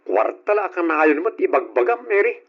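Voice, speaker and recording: A defensive, jobless Ilocano husband who snaps short answers at his family, voiced by a man. The voice sits fairly high and moves lively in pitch. It comes through a muffled, lo-fi old radio recording. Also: moderate pace. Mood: curt. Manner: defensive, curt. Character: struggling jobless husband and brother. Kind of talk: old radio drama acting.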